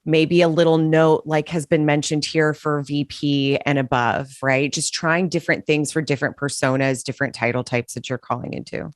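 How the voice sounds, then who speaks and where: Low-pitched woman, chatty and playful on a sales panel. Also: steady tone, normal speed, hardly any pauses, no room sound, clean studio sound. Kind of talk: casual panel discussion.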